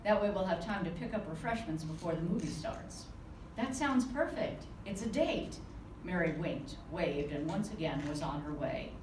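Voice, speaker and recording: A woman author reading aloud from her novel to a live audience, giving the dialogue a lively touch. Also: fairly low pitch, normal speed, few pauses. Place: conference room. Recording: roomy, distant with background noise.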